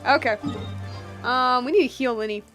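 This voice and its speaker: A woman's voice: sits high, moderate pace. A woman streaming a game with casual, chatty, slangy live commentary and playful asides. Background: game music.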